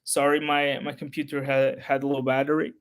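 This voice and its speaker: A man whose first language is Portuguese, talking unscripted to his channel's viewers in a halting, rambling way full of restarts. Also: medium pitch, monotone, a little hiss or hum. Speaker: history YouTuber. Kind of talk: unscripted solo talk to camera.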